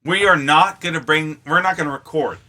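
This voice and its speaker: A man hosting a podcast in casual banter with friends, joking and swearing with excitable energy. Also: fairly high pitch, fairly quick. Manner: jokey, profane, excitable. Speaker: podcast host.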